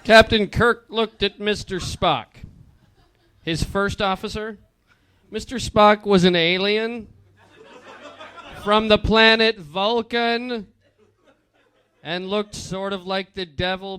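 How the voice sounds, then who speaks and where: A man giving a comedic dramatic reading of fan fiction in a mock-earnest, deliberate storytelling manner. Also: sits high, fairly even intonation, pushed and bright, clean tone. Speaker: comedic reader on a stage show.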